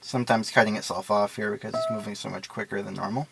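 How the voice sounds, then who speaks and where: A man's voice: lowish voice, fairly even intonation, fast, creaky, background noise. A man giving a casual, unscripted product walkthrough in a matter-of-fact, explanatory way. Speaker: product reviewer and demonstrator.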